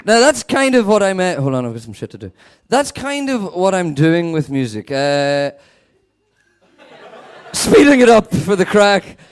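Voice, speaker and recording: A young man from Northern Ireland with a Northern Irish accent, performing a stand-up set with a sweary, deadpan manner. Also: sits high, unhurried, smooth. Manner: sweary, animated, deadpan asides. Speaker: stand-up comedian.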